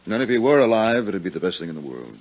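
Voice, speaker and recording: A big, bristling American man in an old radio drama, possessive and smooth in manner. The voice is low and controlled, on a muffled vintage broadcast recording. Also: big pitch swings, fast talker. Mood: subdued, earnest. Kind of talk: 1950s radio drama acting. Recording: dull 1950s radio recording.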